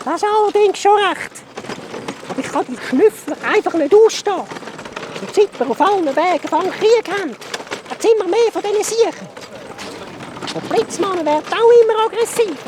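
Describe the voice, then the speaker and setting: A woman's voice: extremely high pitch, animated, normal speed, noisy background. A woman with a Swiss German accent voicing a blunt male cart-puller creature in a radio play. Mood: matter-of-fact.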